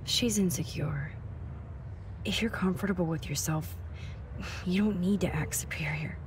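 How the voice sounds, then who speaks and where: A woman acting a caring, nerdy young student photographer in a video game, hushed and supportive, quietly determined. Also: fairly low pitch, unhurried, a little hiss or hum, close to an everyday voice. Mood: supportive, thoughtful. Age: young adult.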